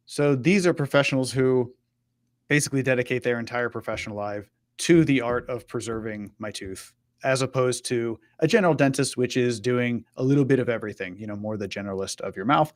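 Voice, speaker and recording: A man with a medium-pitched voice presents in an informal, conversational style. He walks through examples as if chatting with a colleague, often phrasing things as a customer would. The sound is dry, with very little room in it. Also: brisk, no echo. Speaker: presenter on a web marketing live show. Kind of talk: conversational screen-share walkthrough.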